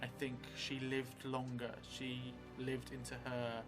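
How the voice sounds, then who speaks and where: A middle-aged man thinking aloud as he improvises a story for a solo role-playing game, halting and musing. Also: mid-range voice, completely flat delivery. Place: small indoor room at a table. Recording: music behind the voice.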